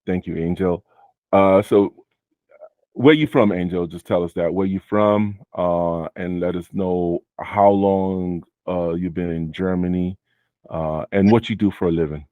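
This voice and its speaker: A man with a very deep voice hosts a casual chat with his student. He talks loosely, with many fillers, and often sums up what the guest just said. The sound is dry and close. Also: a little slow, no echo, phone-quality sound. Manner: relaxed, many fillers, repeats guest's answers. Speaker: host and Amazon seller mentor. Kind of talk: casual video interview.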